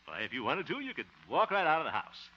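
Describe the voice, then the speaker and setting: An actor playing the fussy, easily exasperated lead of a 1950s radio sitcom, who chuckles often. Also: male voice, on the high side, wildly swooping pitch, fast, pushed and bright, exaggerated voice. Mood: earnest. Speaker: lead of the show, radio host character. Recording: muffled hissy 1950 radio transcription.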